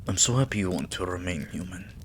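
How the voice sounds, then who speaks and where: A man playing a tender, devoted vampire king in a romantic ASMR roleplay, speaking in a hushed, breathy, soothing way that often slips into a whisper. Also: low voice, lively intonation, slight background noise. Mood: loving, solemn. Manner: hushed, slow, soothing, affectionate.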